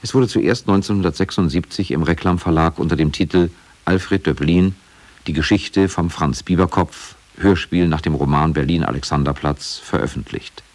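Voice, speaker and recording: Low-pitched man narrating a documentary. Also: moderate pace, darkish tone. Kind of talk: scripted factual narration.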